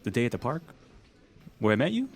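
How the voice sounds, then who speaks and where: A man's voice: mid-range voice, big pitch swings, a little fast, a little hiss or hum. A man playing an easygoing, plain-spoken young guy in a naturalistic audio drama, talking casually. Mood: curious. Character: easygoing young man confiding past events.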